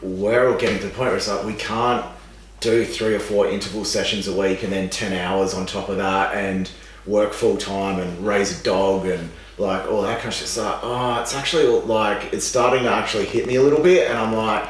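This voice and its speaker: A young man with a raspy, fading voice, rambling and animated as a guest in a casual podcast chat. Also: on the low side, normal speed. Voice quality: raspy, dry. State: losing his voice, raspy. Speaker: podcast guest, exercise scientist. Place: room with a seated podcast setup. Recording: echoey room, distant mic, faint noise.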